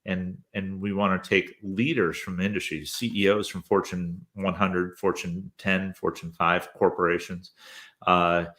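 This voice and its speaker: A man's voice: low voice, level tone, unhurried, fairly bright tone. A man in his fifties, a guest telling his career story in an easygoing, rambling way with lots of hesitant fillers. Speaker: podcast host, interview guest.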